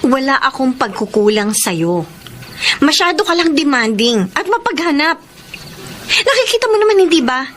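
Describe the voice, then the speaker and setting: Young Filipino wife, stubborn and resentful, high voice, swooping delivery, radio drama, faint noise. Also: female voice, very animated, normal speed, fairly bright tone. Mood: defensive, resentful. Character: young married woman student, resentful, ambitious, stubborn.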